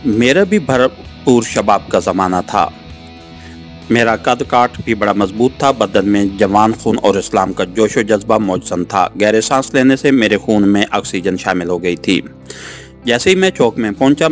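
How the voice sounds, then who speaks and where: A man reading a first-person suspense novel as a scripted audiobook narration. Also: lowish voice. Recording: music under the voice. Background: background music.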